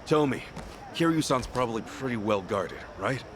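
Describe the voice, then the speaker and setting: An actor voicing a brash, loyal, hot-blooded hero in an action video game, talking bluntly and casually. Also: male voice, mid-range voice, animated, normal speed, noisy background, natural voice. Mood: determined.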